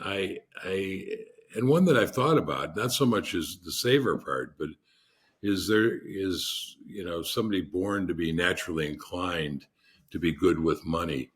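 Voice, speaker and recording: A man with a deep voice, a podcast guest telling rambling anecdotes in a casual interview, often restarting his sentences. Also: animated, moderate pace, a bit bright, husky.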